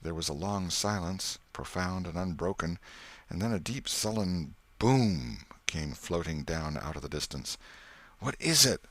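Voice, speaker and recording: A man reading a classic novel as an audiobook narrator, in measured bedtime storytelling style. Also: deep voice, dry recording.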